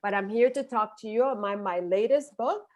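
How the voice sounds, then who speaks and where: A woman with a mid-range voice presents her children's books on a video call. She talks in a cheerful, animated way. She speaks through earphones with a mic from a room at home. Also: mid-range voice, brisk, muffled recording. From Puerto Rico. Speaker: children's book author.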